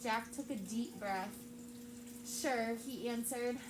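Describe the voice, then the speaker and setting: Teacher lady reading to her class, asks lots of questions, slightly high voice, background noise. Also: lively intonation, a little slow, reverberant.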